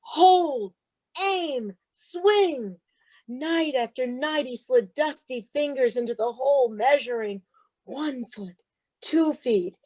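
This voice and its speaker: A woman's voice: high voice, lively intonation, takes it slowly, a little mellow. A woman speaking as a guest author, with an emphatic, rhythmic delivery suited to sharing and reading storybooks. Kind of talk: interview talk and expressive storybook read-aloud.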